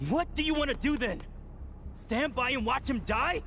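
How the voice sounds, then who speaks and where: An actor playing an obsessive, defiant young experimenter in a video game, intense, self-assured and dramatic, heard in a cavernous space. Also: male voice, extremely high pitch, moderate pace, gravelly, a bit bright, a little hiss or hum, heavily acted. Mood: desperate, defiant.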